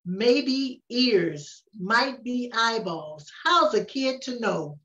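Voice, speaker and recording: An older woman reads a rhyming picture book aloud with expressive pauses. Her voice sits fairly high and moves very slowly. The recording is echoey, as if the microphone is some way off in the room. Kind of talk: read-aloud of a rhyming children's story. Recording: roomy, distant, dull-sounding microphone.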